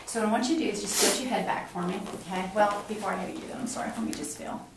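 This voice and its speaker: A woman's voice: medium pitch, rapid-fire. A middle-aged woman giving a physical exam, politely explaining each step to her patient as she goes. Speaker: clinical examiner demonstrating a physical exam. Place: small cinder-block exam room, indoors. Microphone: camera mic from across the room. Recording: very echoey room, distant camera mic, noisy.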